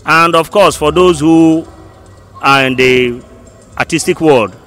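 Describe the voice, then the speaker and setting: A Nigerian man in his thirties answering an interview deliberately, in short phrases, into a handheld mic. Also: on the high side, fairly bright tone, soft background music. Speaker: audience member being interviewed. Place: indoor hallway by hall doors. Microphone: handheld microphone held close.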